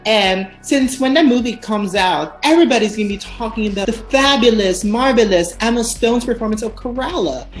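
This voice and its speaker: A woman's voice: fairly high pitch, moderate pace, hardly any pauses, faint music behind. Bubbly woman hosting in an echoey room. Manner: bubbly, theatrical, apologetic about pronunciations. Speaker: host. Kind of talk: casual chat.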